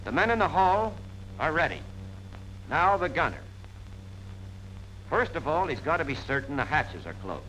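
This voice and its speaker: A man's voice: a little high, very animated, moderate pace, pauses often, bright, forceful tone. An American man gives plain-spoken, punchy instruction in the style of a 1940s military training film narration. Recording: noisy 1940s film soundtrack.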